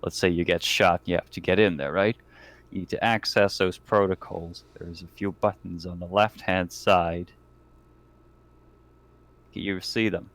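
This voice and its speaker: A man's voice: low voice, fairly even intonation, a little slow, fairly bright tone, dry recording. A man playing in a tabletop roleplaying game, improvising in-character talk as he goes.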